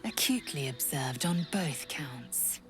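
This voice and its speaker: A proud, low-voiced githyanki warrior. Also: female voice, animated, a little slow, over music. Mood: dry contempt. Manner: haughty, formal, clipped menace. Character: proud, fierce alien githyanki warrior woman, zealous and scornful. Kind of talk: dramatic video game acting.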